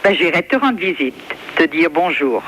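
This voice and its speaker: A woman's voice: sits low, animated, moderate pace, fairly bright tone. French woman in her 50s on a phone call, voice swings up and down a lot, casual, bit of noise. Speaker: member of the public receiving prank call.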